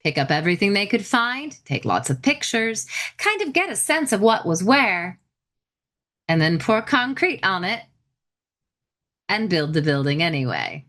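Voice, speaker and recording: Lively, chatty woman explaining history. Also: medium pitch, unhurried. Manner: chatty, explanatory, wry asides. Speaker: medievalist lecturer and podcast host. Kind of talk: informal history lecture.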